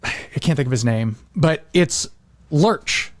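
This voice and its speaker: A man chatting casually on a podcast in an excitable, emphatic way. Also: a little high, takes it slowly, no echo, studio quality. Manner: excitable, emphatic, repeats phrases.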